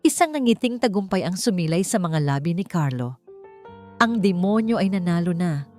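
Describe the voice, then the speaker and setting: A woman with a Filipino accent narrating a scripted story in a measured, dramatic way. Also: on the low side, sing-song, darkish tone. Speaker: audiobook narrator. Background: background music.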